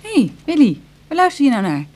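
Husky, coaxing girlfriend. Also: high voice, big pitch swings, quick pace, dark tone. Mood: curious. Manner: coaxing, inquisitive, informal. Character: affectionate, chatty, coaxing female partner of ex-burglar. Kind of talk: Dutch radio drama acting.

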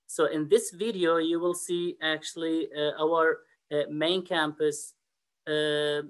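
A man whose first language is Arabic, an admissions officer giving a webinar presentation over a computer mic, often pausing on fillers. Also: fairly high pitch, steady tone, fairly bright tone. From Middle East and North Africa. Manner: hesitant, frequent uh fillers and restarts.

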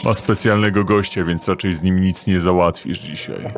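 A man's voice: low voice, steady tone, normal speed, over music. A man playing a short, burly, sly doorman thug with a thick, unpleasant voice in an audio drama, talking in a mocking drawl.

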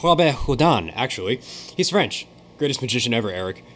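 A man's voice: mid-range voice, very animated, fairly quick, fairly bright tone. A man playing an earnest, bookish young factory worker friend in a radio drama.

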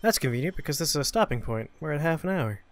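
A man's voice: a little high, expressive, quick pace. A man giving casual let's-play commentary as host, in short muttered asides and exclamations. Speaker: host of a gaming show.

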